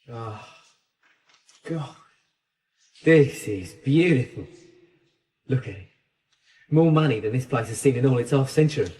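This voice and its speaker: An actor playing an edgy, defensive small-time criminal in a radio drama, with an excitable, protesting manner. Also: male voice, mid-range voice, measured pace, pauses often, distant mic, close to an everyday voice. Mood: gloating. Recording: echoey, reverberant, off-mic radio drama scene.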